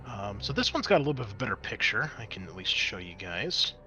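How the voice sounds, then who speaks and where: Man, game master, crisp bright tone, tabletop roleplay narration, dramatic then conversational. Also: lowish voice, big pitch swings, fast, a little hiss or hum. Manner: dramatic scene-setting mixed with casual rules talk.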